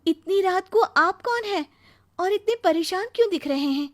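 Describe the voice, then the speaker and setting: A woman's voice: extremely high pitch, expressive, moderate pace, pitched above the performer's own voice. A woman voicing a poor, devout and kind-hearted young mother in a moral story, speaking in an earnest, humble way. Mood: concerned. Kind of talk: Hindi moral storybook acting.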